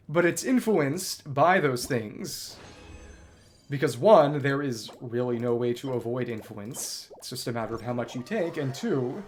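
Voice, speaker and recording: A man's voice: mid-range voice, slight background noise. A man livestreaming a video game, giving casual, bookish commentary that wanders into long tangents. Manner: bookish, discursive, digressive.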